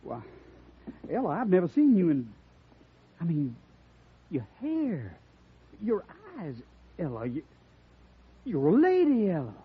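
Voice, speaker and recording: An actor playing a bashful, flustered young cowboy who stammers in a folksy Western drawl in an old radio drama. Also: male voice, sits high, huge pitch swings, slow, pauses often, husky, exaggerated voice. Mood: dumbstruck. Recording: thin narrowband vintage radio recording.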